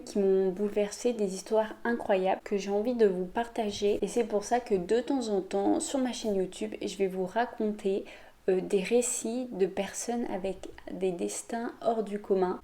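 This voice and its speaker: Youtuber woman telling a true story, mellow warm voice, casual chatty delivery. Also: medium pitch. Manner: chatty, addresses viewers directly. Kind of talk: storytelling vlog.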